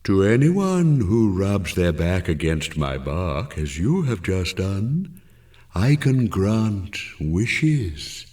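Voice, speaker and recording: A man voicing a haughty, easily offended talking apple tree in a children's story, with grandly drawn-out, correct speech. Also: low-pitched, sing-song, a little mellow, recorded from a distance, exaggerated voice. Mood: boastful.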